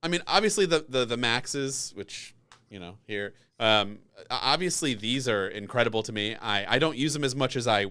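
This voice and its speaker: A man with a smooth, clear voice in a mid pitch range. He talks like a podcast host in casual conversation, loose and rambling. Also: lively intonation, normal speed, bright, forceful tone. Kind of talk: casual tech podcast chat.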